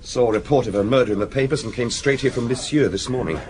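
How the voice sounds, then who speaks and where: An actor playing a deferential, matter-of-fact junior police detective in a radio drama. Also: male voice, mid-range voice, fast talker, a little mellow, a little hiss or hum, lightly acted. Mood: deferential, brisk.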